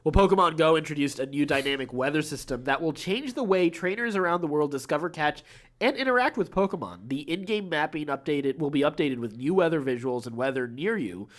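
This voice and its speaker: A man's voice: a little high, expressive, fairly quick. A man with an American accent co-hosting a podcast, chatting casually and reading out nerd news in an easygoing way. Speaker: podcast co-host.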